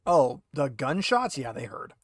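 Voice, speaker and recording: A man's voice: medium pitch, very animated, unhurried, no echo, studio quality. A man working as game master, narrating a tabletop roleplaying game.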